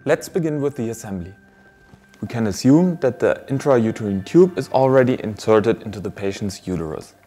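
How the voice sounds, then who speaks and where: A man in his thirties giving a scripted, formal, step-by-step instructional presentation of a product in a measured way. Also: on the low side, animated, mellow, breathy edge, faint music behind. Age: 30s.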